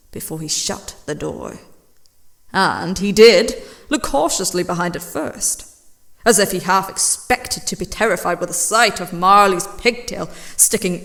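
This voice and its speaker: A woman reading classic fiction as a dramatic audiobook, with theatrical, deliberate delivery that drops into hushed phrases. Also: lowish voice, creaky. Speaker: audiobook reader and voice actress.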